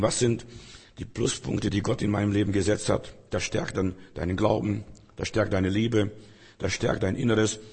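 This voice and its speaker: Hoarse male preacher, raspy and low, exhorting in a reverberant hall. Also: darkish tone. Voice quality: raspy, gravelly. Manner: earnest, exhorting, rhetorical questions. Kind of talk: sermon.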